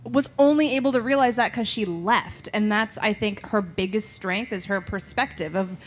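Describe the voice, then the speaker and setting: A woman's voice: mid-range voice, normal speed. A woman co-hosting a live podcast, talking casually with dry quips. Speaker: podcast co-host. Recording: live show with faint background noise.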